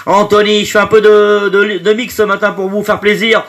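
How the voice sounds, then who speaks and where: Bright stuffy-nosed guy on a home live stream, chatty, says hi to everyone, bit high voice. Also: little pitch movement, moderate pace. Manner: chatty, greets viewers by name, trails off with fillers. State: stuffy from hay fever. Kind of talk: casual live stream chat greeting viewers.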